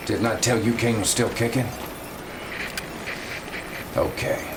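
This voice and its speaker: A man's voice: lowish voice, slow pace. An actor playing a loyal male soldier with a casual, slangy way of talking, in a dramatic video game cutscene. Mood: cocky. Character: cocky but evasive male soldier, a crude loyal subordinate. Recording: clearly noisy background ambience.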